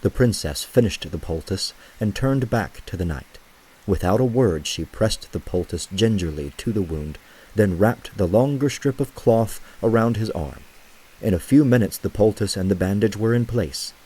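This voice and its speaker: Very deep, husky male story narrator. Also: expressive, moderate pace, mellow, rough recording. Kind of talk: scripted story narration, audio drama.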